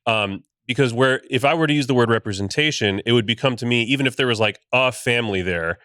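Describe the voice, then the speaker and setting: A man's voice: mid-range voice, fast talker, a bit bright, no echo, clean studio sound. A bilingual Cuban-American man hosting a casual podcast discussion, thoughtful and careful with his words, with many fillers. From United States. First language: Spanish and English.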